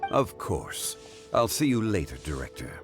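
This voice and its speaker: A low, dignified gentleman scholar in an animated game. He is calm, formal and eloquent, with expressive intonation over soft music. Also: moderate pace. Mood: composed, thoughtful. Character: dignified, calm, scholarly male consultant, courteous and wise.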